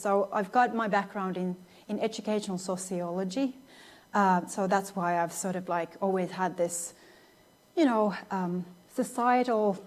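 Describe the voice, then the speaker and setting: A woman in her thirties from Finland delivers a lecture in her second language, her pitch sitting in an ordinary middle range. She talks in a thoughtful, searching way, restarting phrases and leaning on fillers as she finds her words. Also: darkish tone. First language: Finnish. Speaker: guest lecturer. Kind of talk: academic lecture.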